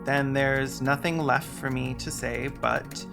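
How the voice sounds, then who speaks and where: Video essay host, medium pitch, sarcastic and dry-witted scripted narration, light music underneath. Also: takes it slowly, gravelly. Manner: sarcastic, wry deadpan jokes. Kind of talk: scripted narration with comic asides.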